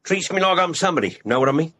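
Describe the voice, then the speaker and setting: An actor playing a gruff, chatty working-class Victorian stable groom in a radio drama, blunt and colloquial, with an English accent. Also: male voice, on the high side, lively intonation, very fast, pushed and bright. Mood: chummy.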